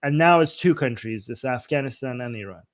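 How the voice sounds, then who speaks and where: A man's voice: medium pitch, brisk, no room sound. Guy cohost on a talk stream, emphatic, says like a lot, dry sound. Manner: animated, emphatic, with frequent "like". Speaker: co-host of a news talk show. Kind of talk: casual discussion commentary.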